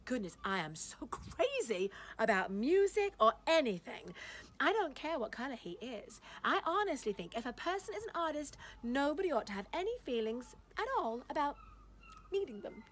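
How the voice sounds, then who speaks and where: A woman's voice: high voice, sing-song, normal speed, slight background noise. A woman voicing a gushing, condescending society lady at a party, breathless and fluttery, with giggles and gasps, in a dramatic short-story reading.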